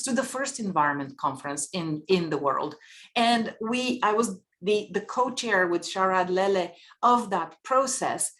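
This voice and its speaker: A woman from Bulgaria giving earnest, measured panel remarks and posing rhetorical questions. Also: medium pitch, a bit bright.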